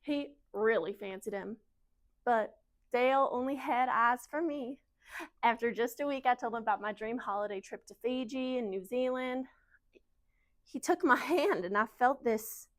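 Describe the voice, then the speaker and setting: Woman acting anxious young wife, higher-pitched. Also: natural voice. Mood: lovestruck, dreamy. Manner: halting, confiding, emotional storytelling. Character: anxious young Southern wife, naive, defending her husband. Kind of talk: dramatic monologue in speech competition.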